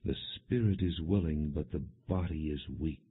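A man narrator voices a grave, commanding male religious teacher in a scripture audiobook. He speaks earnestly and emphatically in a low, husky voice, on a muffled, phone-thin recording. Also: moderate pace, exaggerated voice. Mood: urgent, reproachful. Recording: muffled, narrow phone-like sound.

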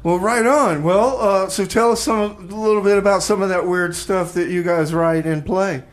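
High-voiced man, casual radio co-host. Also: normal speed. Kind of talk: casual comic banter and band interview.

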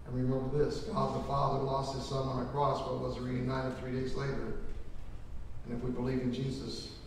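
A man's voice: mid-range voice, completely flat delivery, normal speed, a little hiss or hum. Middle-aged male pastor, earnest folksy funeral preacher, roomy church sound from far off. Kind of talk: funeral sermon. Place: large church sanctuary. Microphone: lectern microphone from a far camera.

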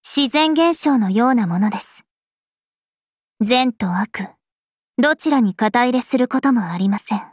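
Regal, slow-spoken spirit princess. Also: female voice, a little high, expressive, no room sound. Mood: serene, reflective. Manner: formal, measured, elegant. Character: regal, serene young female planetary spirit princess. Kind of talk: scripted anime game voice lines. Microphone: studio microphone. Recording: music behind a close dry studio voice.

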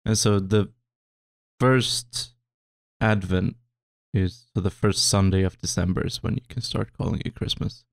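A man's voice: low voice, unhurried, vocal fry, dry recording. A young Swedish man co-hosting a podcast in casual chat, speaking hesitantly with many restarts. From Sweden. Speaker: podcast co-host.

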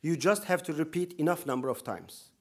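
A man in his thirties with a Turkish accent and a fairly high voice speaks from a podium in a lecture hall. He talks in an earnest, emphatic way, with touches of dry humor. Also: a little fast. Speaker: imam giving opening remarks. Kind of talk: conference speech. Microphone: podium microphone.

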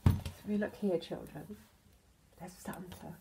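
A British woman in her sixties reads a children's picture book aloud, expressively, with gentle asides addressed to the children. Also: lowish voice, a little slow, heavy background noise. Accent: British English. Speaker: storyteller, infant school teacher. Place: indoors in a home hallway.

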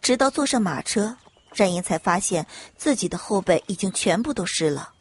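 A woman reading scripted dramatic audiobook narration in an expressive storytelling manner. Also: medium pitch, moderate pace, husky.

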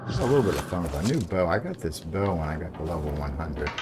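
A man with a gravelly voice giving casual commentary as he plays through a video game. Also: very deep voice, animated, fast, very noisy. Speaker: gamer narrating a playthrough. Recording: game music behind the voice. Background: video game music.

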